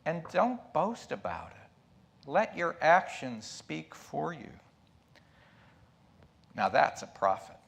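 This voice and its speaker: A man's voice: a little high, big pitch swings, takes it slowly, long pauses, fairly bright tone. A middle-aged or older man giving a sermon as a lay preacher, reflective and emphatic, posing questions and dropping in asides. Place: church pulpit. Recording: clear background hum and noise.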